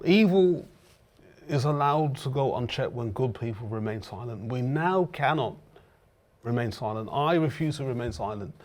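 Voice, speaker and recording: A man's voice: mid-range voice, a little mellow. Impassioned man with a Birmingham accent. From England. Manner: impassioned, with frequent restarts and hesitations. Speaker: guest commentator. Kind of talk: earnest talk-show discussion.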